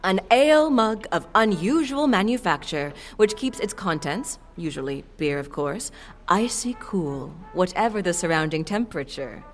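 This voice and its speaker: A playful half-demon merchant woman voiced by an actress with a low, sultry voice. She sells her wares with coy, theatrical flourishes in an audio drama, and music plays behind her. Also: animated, normal speed, natural voice. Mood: alluring sales patter. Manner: coy, theatrical saleswoman with chuckles and purring flourishes.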